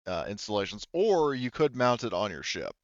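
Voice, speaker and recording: A man's voice: fairly low pitch, sing-song, moderate pace, dry recording, lo-fi sound. A man casually running a tabletop game as game master, thinking aloud with a hesitant delivery.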